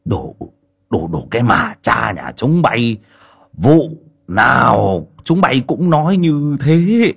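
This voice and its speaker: Guy putting on a nasty old landlord, yells and bullies, big swoopy voice. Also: low voice, breathy edge, exaggerated voice. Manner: barking, bullying. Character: cruel greedy old male landlord.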